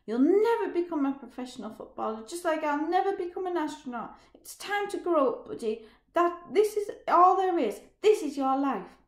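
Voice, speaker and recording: A woman's voice: fairly high pitch, animated, normal speed, natural voice. Woman voicing an enraged young factory worker. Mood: furious. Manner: shouting, bitter and threatening. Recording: roomy echo.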